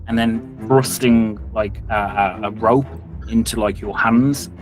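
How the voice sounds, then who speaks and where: A man improvising tabletop game narration, telling it in a halting, suspenseful way. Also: lowish voice, steady tone, rough recording. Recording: music playing behind the voice.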